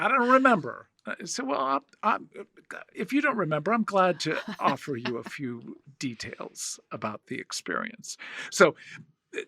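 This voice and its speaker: A man's voice: on the high side, creaky, pushed and bright, no room sound. Older male podcast host in his 60s, thoughtful storytelling tone, dry close studio sound. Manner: thoughtful, storytelling, hesitant restarts. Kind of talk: reflective podcast conversation.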